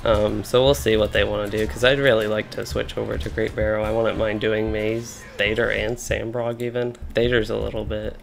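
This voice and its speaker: A man's voice: on the low side, little pitch movement, normal speed, gravelly, hardly any pauses. A young man from Kentucky, casually commenting while he plays an online game, thinking aloud with many fillers and restarts. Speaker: game streamer.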